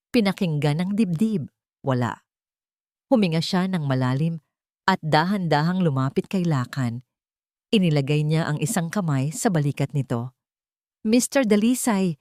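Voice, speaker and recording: A woman narrating a scripted audio drama in a measured, dramatic manner, pausing for suspense. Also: low voice, warm tone, no room sound, clean studio sound. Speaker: story narrator.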